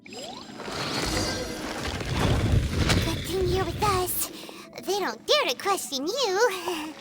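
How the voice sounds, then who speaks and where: A very high, childlike little fairy speaks in an unhurried, bouncy way over loud music. The anime game sidekick is eager, naive and easily flustered. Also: female voice, lively intonation, full character voice. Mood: eager, relieved. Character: small childlike floating fairy companion, eager, nervous, naive. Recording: background music loud under voice.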